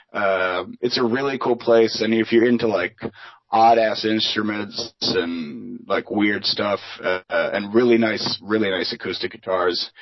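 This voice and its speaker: Guest musician, man from Philadelphia, lowish voice, rambling and filler-heavy, muffled lo-fi sound. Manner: rambling, many fillers and restarts. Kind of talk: casual interview chat. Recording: dull lo-fi remote audio.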